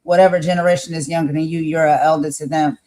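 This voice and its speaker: A man co-hosting a live stream, raspy-voiced, speaking earnestly about values and calling his audience y'all. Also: a little high, little pitch movement, quick pace, warm tone. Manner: preachy, earnest, addresses viewers as y'all. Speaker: co-host. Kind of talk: casual live-stream talk.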